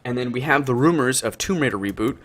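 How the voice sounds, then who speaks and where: Man, podcast co-host. Mid-pitched voice, enthusiastic, slangy, casual group talk. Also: animated, fast, fairly bright tone. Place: remote online voice call.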